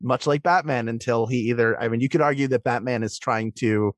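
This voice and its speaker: A man's voice: medium pitch, fairly quick, dry recording. A man talking as a guest in a casual discussion, explaining things and rephrasing as he goes. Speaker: podcast guest, performer.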